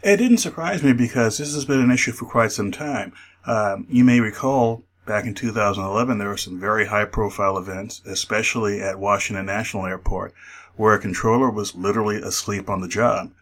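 A man speaking as an expert guest in a radio interview, explaining in a measured, careful way. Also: lowish voice, fairly bright tone.

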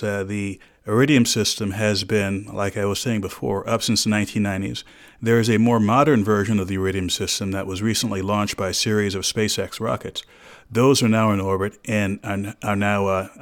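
A man with a fairly low voice speaks as an expert guest on a radio interview. He explains things in a measured, precise way, with formal, carefully built phrasing. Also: steady tone.

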